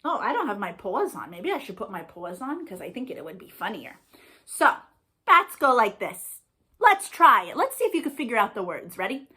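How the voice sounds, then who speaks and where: A woman's voice: fairly high pitch, big pitch swings, moderate pace, pushed and bright. A woman hosting an interactive storytime for young children, encouraging and playful, often asking her listeners questions. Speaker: children's storytime host and librarian. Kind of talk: interactive read-aloud and singalong for young children.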